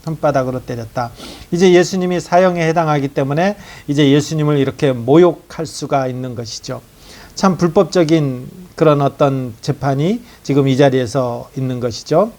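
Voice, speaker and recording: A man's voice: on the high side, moderate pace, darkish tone. A pastor teaching through a scripture passage verse by verse, asking the congregation rhetorical questions as he explains. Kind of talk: expository sermon.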